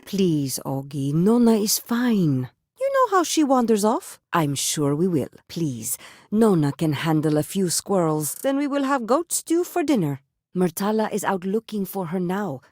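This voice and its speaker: A woman's voice: mid-range voice, sing-song, unhurried, a little mellow, dry recording, clean studio sound. A woman voicing a strict, proud, middle-aged circus matriarch with a theatrical lilt in a video game. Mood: commanding. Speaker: voice actress.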